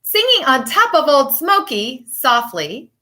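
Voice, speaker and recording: A woman with a fairly high, strikingly bright voice reads aloud for young pupils. She speaks as a teacher would, expressively and emphatically, shaping phrases with deliberate pauses. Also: very animated. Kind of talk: children's picture book read-aloud and chat to pupils.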